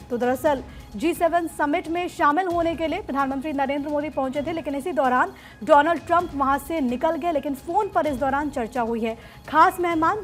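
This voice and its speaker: A woman anchoring a live TV news debate, putting pressing, pointed questions to guests. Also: high voice.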